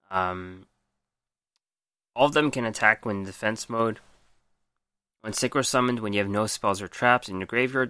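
A man hosting a podcast, reviewing game cards in a casual way with a hoarse, worn voice, reading out stats and then commenting with frequent ums. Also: fairly low pitch, bright, forceful tone, no echo. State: losing his voice. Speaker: podcast host.

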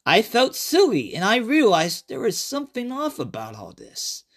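A man with a high-pitched voice narrates a horror story in the first person. His delivery is highly animated in pitch, with some creak in the tone. He reads as the author of the tale, dramatically. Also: normal speed. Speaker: author reading own short story.

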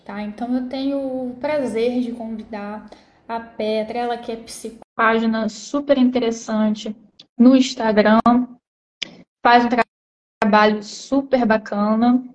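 A woman's voice: fairly high pitch, fairly even intonation, a little slow. A woman with a Brazilian accent hosting a casual live stream interview, chatty and full of tag questions. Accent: Brazilian Portuguese.

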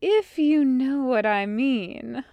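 Woman playing a brash, flirtatious female rogue, smooth-voiced. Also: on the high side, expressive, extremely slow, no room sound, highs cut off. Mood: suggestive. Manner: suggestive, playfully emphatic.

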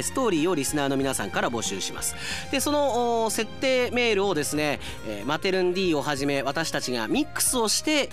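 Guy hosting a radio show, chatty and animated, voice goes up and down a lot, bit of music. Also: fairly high pitch. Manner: animated, conversational. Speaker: radio announcer and show host. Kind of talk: radio talk, reading listener mail.

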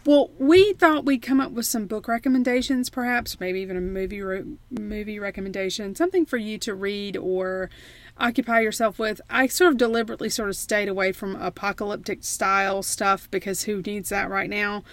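A woman's voice: medium pitch, steady tone, fairly quick, no echo. Chatty American woman, dry close sound. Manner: chatty, laughs often, many restarts. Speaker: podcast co-host. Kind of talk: casual remote chat.

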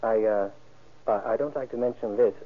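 Man acting guarded husky businessman, tinny old radio. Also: lowish voice, normal speed, lightly acted. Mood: reluctant, explanatory. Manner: polite, hesitant, carefully explanatory. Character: guarded middle-aged businessman, a widower being questioned. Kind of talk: old-time radio crime drama acting. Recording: thin, muffled old radio recording.

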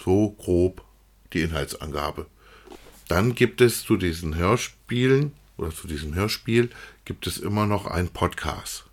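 A man hosting an unscripted, casual review chat, feeling his way through sentences with some repetition. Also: low voice. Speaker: podcast co-host reviewing audio dramas.

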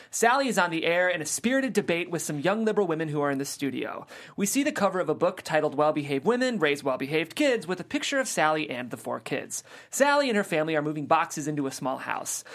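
A narrator reading the stage directions of a screenplay aloud in a plain, steady way. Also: mid-range voice, quick pace.

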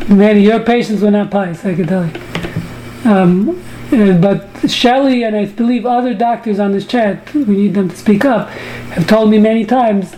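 A woman's voice: medium pitch, slow pace, long pauses, dark tone, slight background noise, rough recording. A woman from the US teaching a Talmud class over a video call, joking and bantering with participants. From United States. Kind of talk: online study class.

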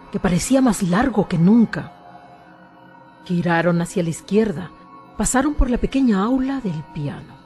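A woman's voice: mid-range voice, warm tone, natural voice. A woman reading scripted, suspenseful narration as the storyteller of a spooky radio drama.

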